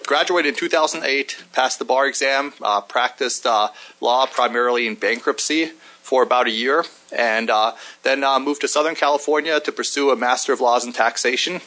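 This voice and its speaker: A man, a committee staff member, introducing himself in a hearing room in a methodical, measured way with frequent "uh" pauses. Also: mid-range voice, pushed and bright. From Germany.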